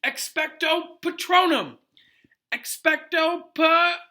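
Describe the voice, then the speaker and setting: A man voicing a teenage wizard boy, earnest and shaken but determined, with a halting manner, in a storybook reading. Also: very high-pitched, bright, forceful tone, voice pushed up, heavily acted. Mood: desperate, shouting. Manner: halting, stammering when upset.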